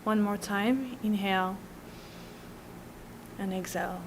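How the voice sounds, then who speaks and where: A woman guiding a breathing meditation slowly and soothingly, with long pauses between instructions. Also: mid-range voice, noisy background. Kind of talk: guided meditation.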